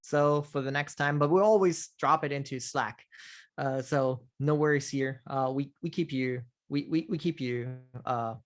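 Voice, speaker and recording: A man hosting a casual technical question-and-answer call, halting, with many fillers and restarts. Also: mid-range voice. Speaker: host of community office hours.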